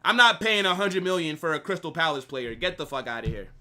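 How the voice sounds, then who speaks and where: Animated man streaming football. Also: fairly high pitch, fast talker. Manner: animated banter, reading chat aloud. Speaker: live stream host. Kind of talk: casual football watch-along chat.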